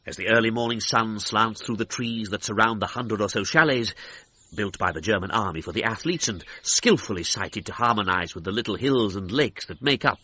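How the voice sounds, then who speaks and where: An actor playing a chipper 1930s commentator, describing the scene in a presentational period radio style. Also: male voice, lowish voice, pushed and bright, husky, full character voice. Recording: slightly dull, lo-fi period radio sound.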